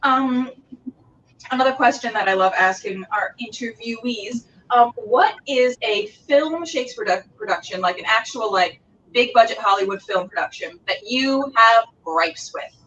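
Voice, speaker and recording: A woman's voice: on the high side, moderate pace, a bit bright, a little hiss or hum. A woman hosting a casual interview, asking questions in an informal, effusive way. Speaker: host, interviewer. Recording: slightly distant, dull lo-fi sound.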